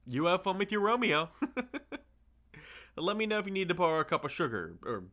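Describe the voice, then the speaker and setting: Man playing a bright-voiced, awkward, chatty delivery guy. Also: sits high, sing-song, moderate pace, heavily acted. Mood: awkwardly friendly. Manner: rambling, sheepish, casual. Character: chatty, awkward, friendly male delivery driver and neighbor. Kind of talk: casual conversational audio roleplay.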